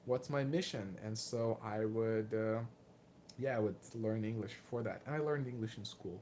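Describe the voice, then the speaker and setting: A man's voice: on the low side, fairly even intonation, normal speed, dark tone. Lithuanian-accented man in his twenties, noisy background. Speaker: martial arts vlogger and fighter. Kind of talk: casual live Q&A, reading out and answering viewer questions.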